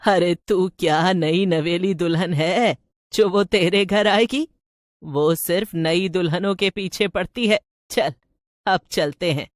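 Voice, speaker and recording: A woman's voice: lowish voice, slow pace, dry recording, studio quality. A woman voicing a gossipy, superstitious old village woman in a storybook tale, with an emphatic, scolding, colloquial way of talking. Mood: teasing.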